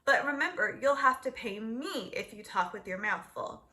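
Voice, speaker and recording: A woman voicing a patient, reasonable father in a children's storybook, speaking in a measured, gently bargaining way. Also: fairly high pitch, lively intonation. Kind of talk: children's storybook read-aloud.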